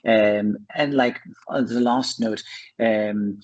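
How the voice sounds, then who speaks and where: A man from Belgium with a Flemish accent, a Dutch speaker, talking as a guest in an interview, pausing often with fillers. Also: fairly low pitch, fairly even intonation, dull audio. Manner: hesitant, many uh and um fillers. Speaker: guest, charity founder. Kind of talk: conversational interview.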